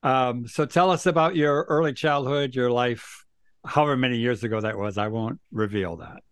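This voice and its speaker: A man's voice: mid-range voice, normal speed, clear, resonant tone, no room sound. A man asking questions conversationally as the interviewer.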